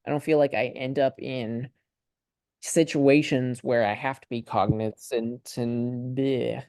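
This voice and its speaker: A young man from Ukraine chatting casually on a video call in a student discussion, thinking aloud in a tentative, halting, rambling way. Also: medium pitch, fairly even intonation, a little mellow, clean tone, no room sound, studio quality.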